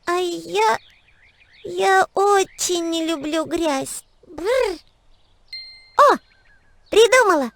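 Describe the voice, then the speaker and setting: A woman voicing a helpful, expressive little girl who explains things in a children's cartoon. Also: very high voice, very slow, gravelly, long pauses, exaggerated voice. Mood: pondering.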